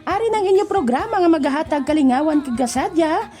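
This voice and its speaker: A woman's voice: high voice, expressive, fairly quick, mellow. A woman radio host with a Western Visayan Filipino accent, giving effusive, announcer-style greetings in an upbeat programme introduction. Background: music.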